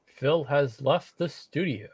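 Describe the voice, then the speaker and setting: A man's voice: on the high side, slow pace, darkish tone, breathy edge, no echo. Wry man, dry close sound. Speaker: panelist. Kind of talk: casual chat.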